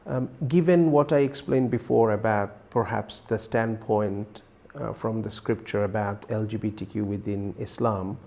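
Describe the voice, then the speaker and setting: A man in his forties from Bangladesh, a university teacher, giving a thoughtful, measured personal testimony with small restarts. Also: mid-range voice, dark tone.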